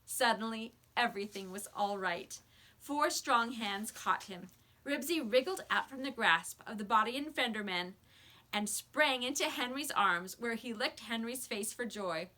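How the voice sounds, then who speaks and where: A woman reading a children's book aloud with expressive storybook narration, like a teacher to a class. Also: fairly high pitch, unhurried.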